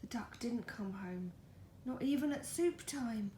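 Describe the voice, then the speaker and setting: A woman reading a picture book softly in an ASMR storytime style, often slipping into a whisper and cooing to a pet. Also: fairly high pitch, expressive, measured pace. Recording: faint background noise.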